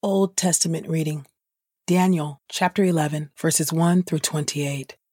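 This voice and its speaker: A woman reading Bible passages aloud from a script in a measured, formal way, as a podcast host. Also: low voice, fairly even intonation, mellow, slightly rough, no echo, studio quality.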